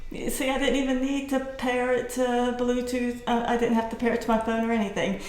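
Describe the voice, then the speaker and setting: A woman giving a casual product demo at home, explaining things in an informal, folksy and halting way. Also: a little high, flat delivery, darkish tone. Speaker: product reviewer and vlogger. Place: indoors at home. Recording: roomy echo, faint background noise.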